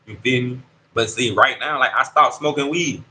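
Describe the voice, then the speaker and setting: A man in his thirties speaks from a prison dorm with a bright, forceful voice, talking in street slang and often checking that the listener follows him. Faint ambient noise sits behind him. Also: mid-range voice, moderate pace, rough recording. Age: 30s. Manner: street slang, keeps asking "you see what I'm saying". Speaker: incarcerated interviewee. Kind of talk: casual conversational storytelling.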